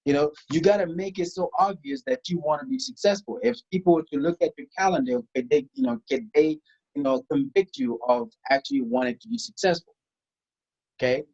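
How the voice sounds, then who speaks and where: A man's voice: a little high, expressive, fairly quick, vocal fry, a little mellow, lo-fi sound. A man from South Sudan, a guest in an interview, talking emphatically and asking rhetorical questions. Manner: emphatic, rhetorical questions, frequent "you know" and "right?". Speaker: guest, tax strategist. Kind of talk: conversational interview.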